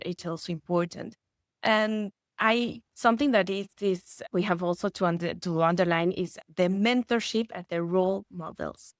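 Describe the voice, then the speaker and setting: A woman's voice: fairly low pitch, no echo, studio quality. Earnest woman. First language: Spanish. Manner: earnest, with frequent restarts and rising excitement. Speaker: guest expert. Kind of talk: conversational podcast interview.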